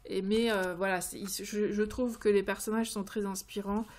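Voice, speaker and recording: A woman giving an informal, unscripted creative tutorial, halting and searching for her words as she goes. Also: mid-range voice, level tone, a little mellow. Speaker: creativity vlogger presenting tarot card ideas.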